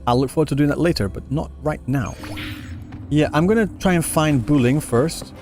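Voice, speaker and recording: A man's voice: a little high, normal speed, darkish tone, soft background music. A man giving casual gameplay commentary as a streamer, with offhand muttered asides. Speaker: streamer, game player.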